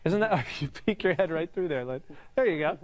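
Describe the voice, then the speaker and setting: A man's voice: on the high side, animated, brisk. A shopping channel host, a man, giving a casual, upbeat product presentation.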